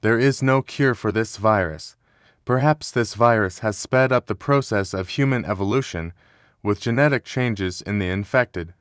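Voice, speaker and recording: A man performs a grave government health official in a scripted disaster drama. His voice sits fairly low and is recorded dry. He speaks formally and somberly, as one announcing catastrophe. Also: a bit bright, clear, resonant tone, dry recording. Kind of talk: scripted emergency address.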